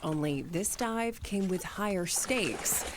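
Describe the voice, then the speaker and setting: A woman TV news reporter reading a scripted story narration in a measured, polished way. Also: fairly low pitch, expressive, background noise. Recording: narration with clear background ambience.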